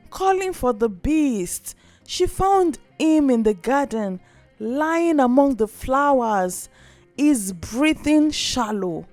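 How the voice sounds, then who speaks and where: A woman narrating a scripted folktale as a storyteller, with an expressive, dramatic delivery. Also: high voice, dry recording. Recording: music behind.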